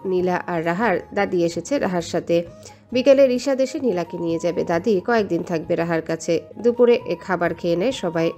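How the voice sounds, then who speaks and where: A woman's voice: medium pitch, warm tone. A woman reading a scripted romantic story as its narrator. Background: background music.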